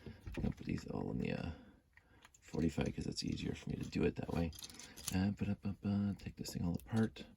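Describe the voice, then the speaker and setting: Stuffy, low, gravelly-voiced man. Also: big pitch swings, fairly quick, mellow, a little hiss or hum. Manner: rambling, self-deprecating, hums and mutters while working. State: stuffy from a cold. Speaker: building hobbyist vlogger. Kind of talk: casual thinking-aloud while building.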